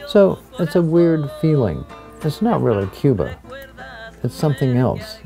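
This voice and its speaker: A man giving measured documentary commentary, with guitar music behind him. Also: a little high, wildly swooping pitch, vocal fry, mellow.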